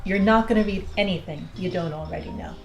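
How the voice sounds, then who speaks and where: A woman's voice: on the low side, a little fast, echoey room, lo-fi sound, faint music behind, natural voice. A woman playing a flippant, irreverent pilot in an audio drama, with a teasing, sarcastic manner. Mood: dismissive. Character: flippant, irreverent female pilot who flouts rules. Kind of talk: naturalistic audio drama acting.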